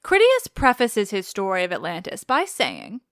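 A woman's voice: mid-range voice, wildly swooping pitch, moderate pace, dry recording, studio quality. A woman hosting a podcast, commenting on mythology casually with wry, sarcastic asides. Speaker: podcast host.

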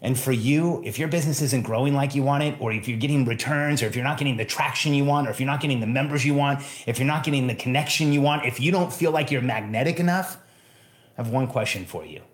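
A Mexican-born man whose was talks straight to his listeners as a business coach. He is earnest and direct and stacks repeated phrases for emphasis. His voice sits at a middle pitch for a man and rings in a roomy, echoing space. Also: quick pace. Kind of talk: motivational monologue.

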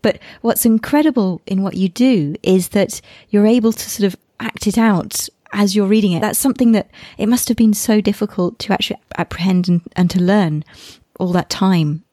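A woman's voice: medium pitch, moderate pace, mellow, no room sound, clean studio sound. A woman hosting a podcast interview, engaged and asking long questions. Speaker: podcast host.

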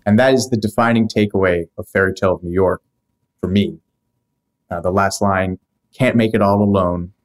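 A man's voice: low voice, fairly even intonation, normal speed. A man hosting a podcast, reading scripted narration broken up by casual asides. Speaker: podcast host.

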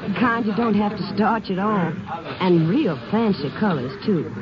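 An actor playing a talkative small-town laundry worker in a 1950s radio drama, rambling in homespun country grammar. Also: male voice, high voice, lively intonation, moderate pace, music in the background. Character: chatty folksy small-town laundry man. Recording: muffled old radio broadcast.

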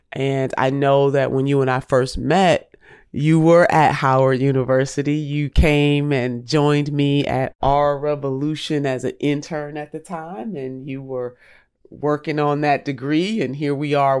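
A woman's voice: a bass voice, a little mellow, smooth, dry recording. Woman podcast host, nasal from a cold, emphatic and preacherly, dry sound. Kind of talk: casual podcast interview.

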